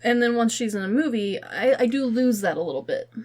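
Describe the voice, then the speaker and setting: A woman co-hosting a podcast in casual chat, talking informally and haltingly as she thinks aloud. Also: fairly high pitch, lively intonation, a little mellow. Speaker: podcast co-host. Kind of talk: casual chat about films and games.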